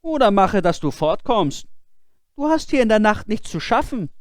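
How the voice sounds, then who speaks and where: A high-pitched, simple-minded boy from a storybook, played by a man reading aloud. He speaks with earnest, guileless emphasis and sounds fearless and innocent. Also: very animated, normal speed, no echo, studio quality. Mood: challenging. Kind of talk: fairy-tale storybook character voice.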